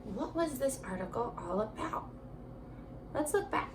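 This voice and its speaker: A woman teaches young children in a high, very lively voice. She takes her time, reading and prompting with encouraging questions as if each pupil were right there. A little background noise sits behind her. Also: measured pace. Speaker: elementary school teacher. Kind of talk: children's remote reading lesson, alternating slow read-aloud and chatty prompts.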